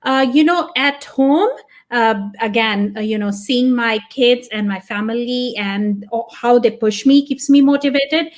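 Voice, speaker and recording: A woman whose first language is Punjabi, answering as a podcast guest. Her voice sits a little on the high side. She talks earnestly and reflectively, thinking aloud as she goes. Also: unhurried. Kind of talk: casual interview talk.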